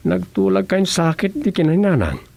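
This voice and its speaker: A man's voice: high voice, very animated, moderate pace, gravelly, a little mellow, no echo, full character voice. A man playing an eager, evasive married villager in a radio drama comedy, with an Ilocano accent. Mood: eager, placating.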